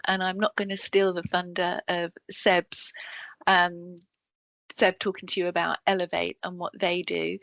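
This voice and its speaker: A woman presenting to colleagues in a work meeting, halting with frequent ums and self-corrections, heard over a video call. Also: fairly low pitch, creaky, fairly bright tone, no room sound. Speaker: education support officer presenting to colleagues.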